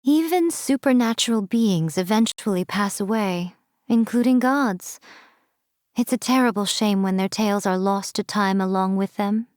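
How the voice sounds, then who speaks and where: A woman voicing a sly, elegant fox-spirit shrine priestess in a video game, with coy, teasing, haughty and measured delivery. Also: medium pitch, a little mellow, no echo, clean studio sound, close to an everyday voice. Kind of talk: game character voice lines, theatrical monologue.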